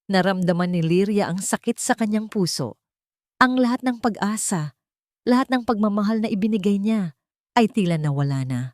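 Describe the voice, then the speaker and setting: A woman's voice: medium pitch, lively intonation, moderate pace, a little mellow, no room sound, studio quality. A woman reading scripted, dramatic story narration as an audiobook narrator.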